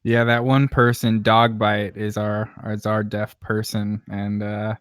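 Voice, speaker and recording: A man's voice: on the low side, little pitch movement, slow pace, clear, resonant tone, dry recording, studio quality. A man live streaming and chatting casually in an informal, rambling manner. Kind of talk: casual chat.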